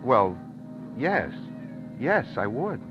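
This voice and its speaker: Henpecked working stiff, medium-pitched, plainspoken and wistful; dull old radio recording with music. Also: male voice, very animated, very slow. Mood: hesitant, earnest. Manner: plainspoken, hesitant, pleading. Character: henpecked, wistful, awkward working-man husband. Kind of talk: 1950s radio drama acting, first-person narration.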